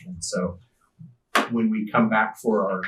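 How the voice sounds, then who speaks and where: Soft-spoken man leading a meeting, echoey room. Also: fairly low pitch, steady tone, far from the mic, slight background noise. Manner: soft-spoken, measured, gently directive. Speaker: meeting facilitator. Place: school district meeting room.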